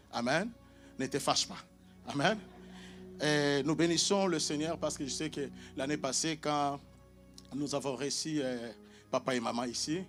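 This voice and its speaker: Preacher. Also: male voice, medium pitch, a bit bright. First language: Portuguese. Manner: emphatic call-and-response with frequent amens. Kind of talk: sermon. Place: church hall with congregation.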